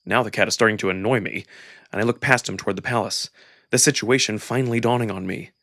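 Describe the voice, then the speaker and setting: A man's voice: lowish voice, fast, pushed and bright. Man narrating a dramatic first-person story. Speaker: narrator and voice actor.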